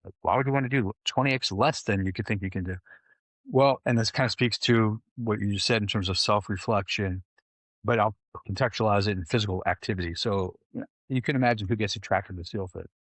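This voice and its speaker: A man's voice: on the low side, fairly quick, dry recording. A man coaching clients, talking in a loose, rambling way with many fillers. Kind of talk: casual conversation.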